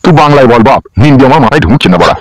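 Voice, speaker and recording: A man's voice: medium pitch, expressive, racing, a little mellow, dry recording, close to an everyday voice. A man voicing a hapless, resigned husband, crude and complaining, in a comedy cartoon. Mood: resigned, grumbling.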